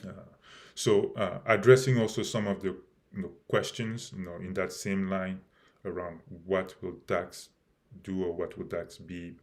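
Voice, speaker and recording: A man hosting a live stream about his project, speaking haltingly with many fillers and restarts, and losing his voice a little. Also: sits low, steady tone. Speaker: crypto project host.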